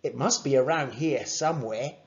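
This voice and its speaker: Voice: medium pitch, moderate pace, dull audio, lightly acted. A narrator voicing a friendly, joking young boy in a children's story.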